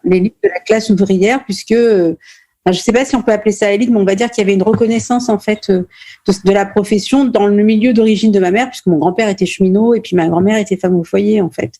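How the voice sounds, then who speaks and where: A middle-aged woman from Normandy in France, a playwright and stage director answering interview questions thoughtfully, with many pauses and restarts. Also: medium pitch, fairly even intonation, dark tone.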